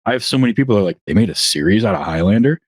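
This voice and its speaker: A man guesting on a podcast, chatting in a relaxed way with plenty of fillers. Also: lowish voice, rapid-fire, slightly rough, dry recording, clean studio sound. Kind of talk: casual interview chat.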